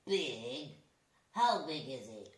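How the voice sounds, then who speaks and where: A woman voicing an excitable, fearful animal in a children's puppet show, with exclamatory, repetitive lines. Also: rumbling low, slow pace, exaggerated voice. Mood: alarmed. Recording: echoey room, distant microphone.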